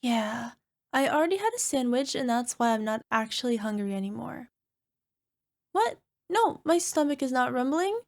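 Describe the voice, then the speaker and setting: A woman's voice: high voice, big pitch swings, moderate pace. A woman playing a small, sheepish cyclops monster girlfriend in an ASMR roleplay, wheedling and making cutesy, defensive excuses. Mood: nervous, cheerful. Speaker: voice actor.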